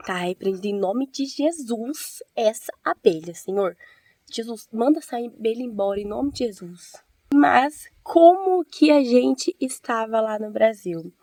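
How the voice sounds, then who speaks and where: A hoarse woman with a Brazilian accent who has a cold, telling her story in an earnest, chatty vlog as a church-minded host. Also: fairly high pitch, animated, unhurried, warm tone, no echo. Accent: Brazilian Portuguese. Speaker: evangelical video host. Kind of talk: casual testimony vlog.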